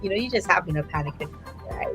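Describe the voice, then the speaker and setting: Expressive woman hosting a live show. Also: on the low side, fast, breathy edge, soft background music. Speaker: host. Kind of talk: live online talk show.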